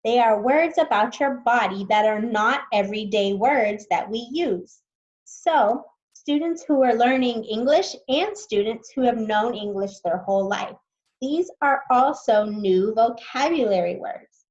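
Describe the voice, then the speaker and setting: Brief: a patient woman teacher who reads slowly and stops to explain words, over a muffled home mic. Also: fairly high pitch, animated. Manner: slow, patient, explains words as she goes. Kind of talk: reading a science book aloud to students.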